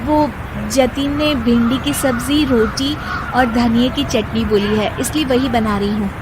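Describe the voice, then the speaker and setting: A woman's voice: a little high, steady tone, measured pace, noisy background, lightly acted. A woman voicing a polite, bewildered young newlywed bride in a cartoon story. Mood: flustered. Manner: polite, flustered, talks to herself.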